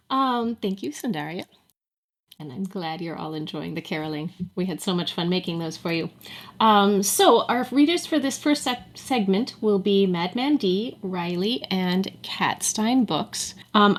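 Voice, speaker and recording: A woman with a mid-range voice acts as co-host of an online event. She introduces people and segments informally, in everyday conversational speech. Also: mid-range voice. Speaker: co-host and presenter. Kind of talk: casual event hosting.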